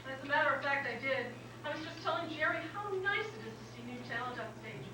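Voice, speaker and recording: A woman's voice: high voice, lively intonation, fast talker. A woman playing a composed, teasing talent agent with a dry, assured way of talking in a staged reading. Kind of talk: staged reading before an audience. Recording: roomy, distant, noisy live recording.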